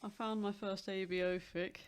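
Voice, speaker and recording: A woman's voice: mid-range voice, level tone, normal speed, smooth. A woman hosting a podcast, chatting casually in a blunt, sweary manner. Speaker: podcast host. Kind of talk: casual chat.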